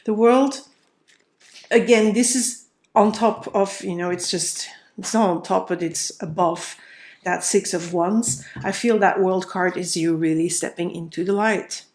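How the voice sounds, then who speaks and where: A woman with a cold and a slightly nasal voice, thinking aloud as she gives a tarot card reading, with many fillers and restarts. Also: mid-range voice. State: stuffy nose from a cold. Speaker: tarot reader.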